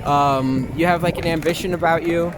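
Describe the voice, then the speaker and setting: A young American man with a slightly high voice speaks in a loose, candid interview style. His phrasing is halting and informal, peppered with "like" and casual swearing. Music is clearly audible behind him. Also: steady tone. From Long Island, New York, US. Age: young adult. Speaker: interview guest.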